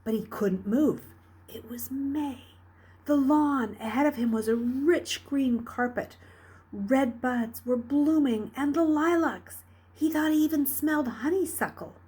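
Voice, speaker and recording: A woman reading a novel aloud as a storyteller, with dramatic, expressive delivery. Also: sits high, measured pace, slightly rough. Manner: dramatic, expressive, with hushed dips and emphatic rises. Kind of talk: read-aloud storytelling narration.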